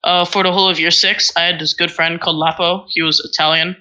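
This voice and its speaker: A woman's voice: on the low side, little pitch movement, quick pace, bright, forceful tone, muffled recording. A teenage girl from Singapore, co-hosting a casual podcast and telling rambling, self-mocking stories full of fillers. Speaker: podcast co-host. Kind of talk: casual chat.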